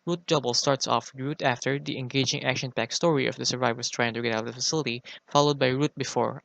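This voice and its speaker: A man's voice: mid-range voice, vocal fry, fairly bright tone, no echo, rough recording. A man delivering a scripted review as a guest segment on a podcast.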